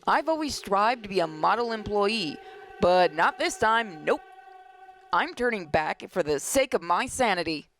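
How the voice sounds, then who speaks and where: A woman hosting a gaming video, reading a visual novel aloud dramatically with playful, expressive asides. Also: mid-range voice, a little slow.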